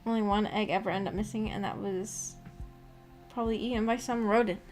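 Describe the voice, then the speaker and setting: A woman's voice: mid-range voice, fairly even intonation, brisk, darkish tone. A woman chatting casually as a livestream host, rambling in a giggly, self-deprecating way. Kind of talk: casual chat. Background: music.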